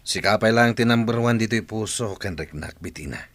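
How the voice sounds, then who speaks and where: A man's voice: fairly low pitch, moderate pace, a bit bright, no echo, lightly acted. A man playing a sweet-talking, mercenary adulterous lover in a radio drama, wheedling as he talks.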